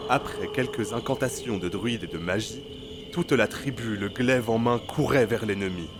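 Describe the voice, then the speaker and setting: A man's voice: lowish voice, normal speed, heavy background noise. A man narrating a scripted fantasy audio drama as a storyteller. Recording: loud music under the voice.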